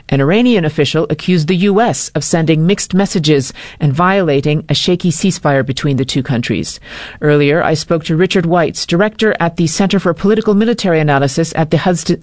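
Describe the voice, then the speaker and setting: A presenter reading scripted news headlines in a crisp, measured way. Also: mid-range voice, no echo, clean studio sound.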